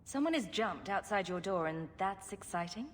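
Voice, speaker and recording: An actress playing a composed, wryly blunt adventurer in a fantasy game, with a direct delivery. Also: female voice, medium pitch, animated, moderate pace, big-room sound, lightly acted. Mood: friendly, teasing. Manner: direct, wry. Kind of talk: cinematic fantasy game acting.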